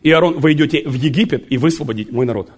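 A man's voice: fairly high pitch, very fast, lightly acted. A man preacher voicing God, who gives plain, firm commands. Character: God speaking firmly to his people.

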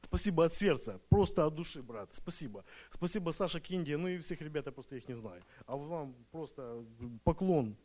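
A man's voice: on the high side, animated, normal speed, hardly any pauses, mellow. A man speaking Russian as a second language, giving a nervous, hesitant church testimony full of fillers and restarts. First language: not Russian. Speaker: retreat participant giving testimony.

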